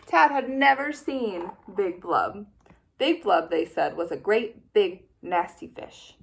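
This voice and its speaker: A woman teacher reading a children's picture book aloud with expressive, playful storytelling. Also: medium pitch, slow, creaky.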